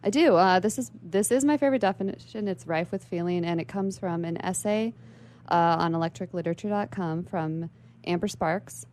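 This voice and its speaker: A young woman with a slightly low voice, speaking as a radio interview guest. She explains things in a bookish, articulate way, building long and prepared-sounding sentences. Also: moderate pace, darkish tone. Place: radio station studio.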